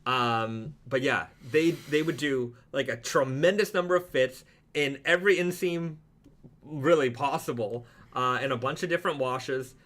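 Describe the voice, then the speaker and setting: A man hosts a casual live stream with a bright, crisp-edged voice of average pitch. He talks through products in an easygoing, rambling way, like loose conversation. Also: measured pace.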